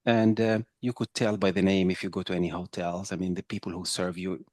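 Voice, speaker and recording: Man; chatty trainer lecturing a group; low pitch, dry room. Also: little pitch movement, fairly quick, darkish tone, no echo. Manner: conversational, checks in with "right?". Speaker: intercultural trainer. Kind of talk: workshop lecture with audience.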